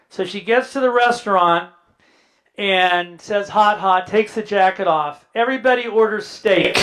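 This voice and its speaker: High-voiced man in his sixties telling family stories. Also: a bit bright. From Indiana, US Midwest. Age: 60s. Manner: anecdotal, quotes family members in dialogue, dry humor. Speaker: guest speaker. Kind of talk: personal storytelling talk.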